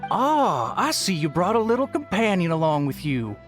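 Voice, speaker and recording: A man's voice: sits high, big pitch swings, normal speed, music in the background. A man voicing a genial, theatrical festival greeter in a video game, grand and ceremonious. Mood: encouraging.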